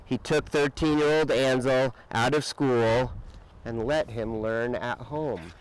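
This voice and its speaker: A man reading a children's picture book aloud with expressive, dramatic emphasis and drawn-out words. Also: mid-range voice, a little hiss or hum, rough recording. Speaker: storybook reader.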